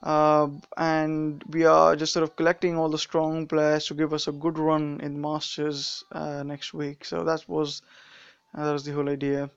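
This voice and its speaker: A man's voice: on the high side, monotone, moderate pace, creaky, clean tone, dry recording, dull audio. A young man with a mixed British and Indian accent, casually commenting on a mobile game during a live stream, rambling with many fillers and restarts.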